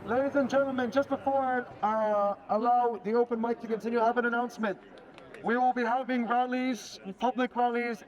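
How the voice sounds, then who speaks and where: A man making a public announcement as host of an open mic at an outdoor rally. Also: extremely high pitch. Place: outdoor urban protest encampment. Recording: dull sound, crowd behind.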